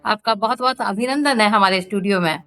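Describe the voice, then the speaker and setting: A woman's voice: medium pitch, fairly quick, a bit bright, faint music behind. A woman co-hosting an interview podcast, speaking in flowery, admiring phrases. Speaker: podcast co-host.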